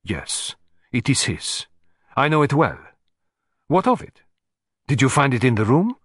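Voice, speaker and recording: A man's voice: mid-range voice, big pitch swings, unhurried, slightly rough, dry recording, studio quality, pitched above the performer's own voice. Man putting on an imperious, passionate Russian countess. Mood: haughty, defiant. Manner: theatrical, commanding, sweeping declarations.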